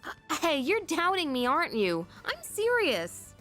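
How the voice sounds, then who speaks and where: A woman playing a chatty, self-deprecating high school girl in a video game. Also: huge pitch swings, measured pace, fairly bright tone, faint music behind, heavily acted. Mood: mildly indignant.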